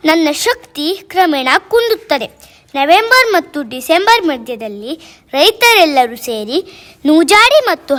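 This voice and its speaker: A woman's voice: high voice, fairly bright tone, heavily acted. A woman voicing a sorrowful river that weeps and laments being wronged, in declamatory speech. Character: weeping female river spirit, sorrowful and wronged. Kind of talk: amateur radio play.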